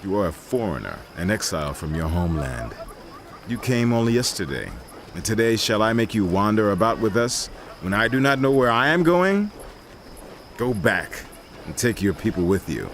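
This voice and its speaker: A grave, aging king in a scripture audio drama. He is solemn and authoritative, with a fairly low, expressive voice over faint noise. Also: normal speed. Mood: grave, kindly. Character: grave, aging, kindly but resolute king.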